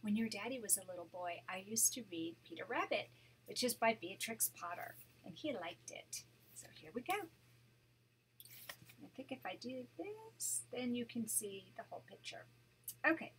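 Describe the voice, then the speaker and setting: An older woman, a grandmother, reading a children's story aloud to her grandchild, animated and pausing to point out the pictures. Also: mid-range voice, a little hiss or hum, rough recording.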